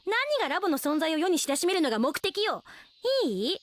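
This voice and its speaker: Sharp-tongued teenage girl, very high voice. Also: very animated. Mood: exasperated. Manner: scolding, haughty, quick to retort. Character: sharp-tongued, proud eighteen-year-old girl genius researcher. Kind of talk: Japanese anime radio drama acting.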